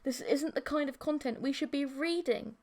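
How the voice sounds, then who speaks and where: A woman's voice: high-pitched, moderate pace, a little mellow, pitched above the performer's own voice. A woman playing an exasperated young boyfriend in an audiobook, earnest and protesting. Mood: earnest, indignant. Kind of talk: audiobook character voice.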